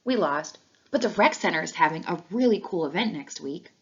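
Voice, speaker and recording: A woman's voice: fairly low pitch, animated, a little fast. Young storybook schoolboy played by a woman reader, earnest and slightly anxious, childlike delivery, dull recording. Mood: earnest, hesitant.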